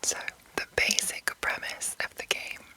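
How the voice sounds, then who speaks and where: A woman hosting ASMR, speaking entirely in a soft, breathy whisper as she gives an informal, rambling, hesitant explanation. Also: measured pace. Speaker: ASMR host.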